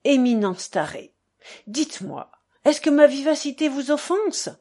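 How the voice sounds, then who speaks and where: A woman's voice: fairly high pitch, lively intonation, slow, mellow. A woman voicing a theatrical, self-abasing old buffoon in a novel, exclaiming and declaiming with effusive mock piety. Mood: mock-deferential.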